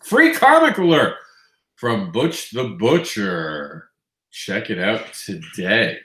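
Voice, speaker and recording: Nasal stuffed-up new guy doing comics news, lively up and down, lowish voice, echoey room. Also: slow. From Queens, New York. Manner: chatty, upbeat, self-correcting. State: congested, nasal from allergies. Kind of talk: casual news roundup.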